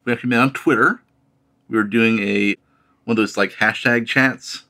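Halting man, lowish bright voice. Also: fairly even intonation. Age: late 30s. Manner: halting, repeats words. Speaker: podcast guest. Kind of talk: casual chat.

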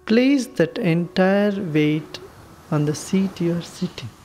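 A woman leading a guided meditation with soothing, unhurried instructions and long pauses. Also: fairly low pitch, animated, dark tone, slight background noise.

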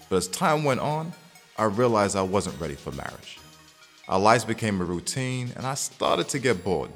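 Casting: young playboy boyfriend, cocky but caring, low lively voice, brash slang, music underneath. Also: male voice. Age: young adult. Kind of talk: scripted audio drama acting and first-person narration. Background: background music.

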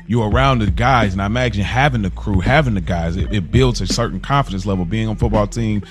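A man's voice: lowish voice, quick pace, over music. A man in casual, reflective podcast conversation, speaking in a supportive, affirming way as a co-host.

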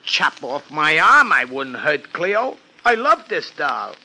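An anxious, slangy city florist from a 1950s radio crime drama. His voice is high and very sharp, and it swings up and down as he frets like a streetwise little guy. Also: very animated, takes it slowly, heavily acted. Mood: agitated. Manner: slangy, ungrammatical tough-guy talk.

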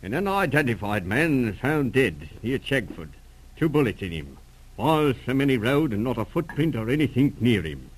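A man's voice: mid-range voice, moderate pace, breathy edge, low-quality recording. A man playing a deferential, eager country policeman with folksy phrasing, in 1940s radio drama style. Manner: folksy, respectful, lively. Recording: old radio serial recording.

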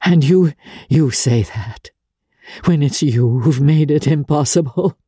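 A woman playing a worldly, wounded aristocratic woman in a dramatic, measured audiobook reading with halting pauses. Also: sits low, warm tone, breathy edge, dry recording, clean studio sound, exaggerated voice. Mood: hurt, indignant. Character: worldly, wounded aristocratic woman, low-voiced then passionately indignant.

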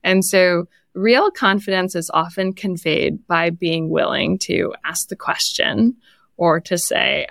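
A woman's voice: fairly low pitch, vocal fry, no room sound, studio quality. A woman speaking conversationally as an interview guest, giving practical advice as a product leader and coach. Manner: laughs lightly while talking.

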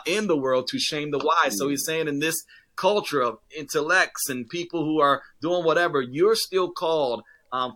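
A man's voice: on the high side, pushed and bright. A man, a pastor, talking earnestly in a casual discussion, with many fillers and restarts.

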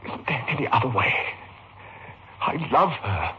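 Tormented doctor tempted to kill, halting melodramatic radio horror delivery, very expressive, dulled old recording. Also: male voice, mid-range voice, dull audio, a little hiss or hum. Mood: tormented brooding. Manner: halting, agonized, melodramatic monologue. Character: tormented doctor husband, desperate, tempted to murder. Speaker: radio drama actor. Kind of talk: 1960s radio horror acting.

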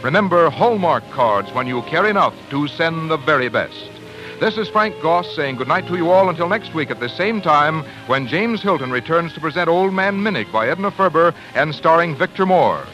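A man's voice: fairly high pitch, expressive, normal speed, music in the background, poor audio. An American man working as a radio announcer, polished and salesmanlike in a 1940s advertising style. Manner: polished, salesmanlike, clearly phrased. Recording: 1940s radio broadcast recording.

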